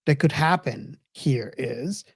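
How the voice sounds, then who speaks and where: A woman's voice: very deep voice, lively intonation, slow, slightly rough, dry recording, clean studio sound. A woman hosting a podcast in a casual, enthusiastic and earnest way, often repeating and restarting her words. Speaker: podcast host.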